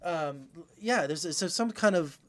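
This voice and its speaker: A man's voice: fairly high pitch, lively intonation, unhurried. A man giving casual film reviews in an enthusiastic, emphatic way.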